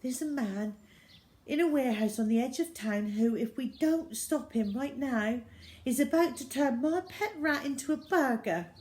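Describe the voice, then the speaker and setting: A plucky young daughter in a children's story , played by a woman narrator. She speaks earnestly and insistently in a lifted pitch, determined to be heard. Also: expressive, moderate pace, a little mellow.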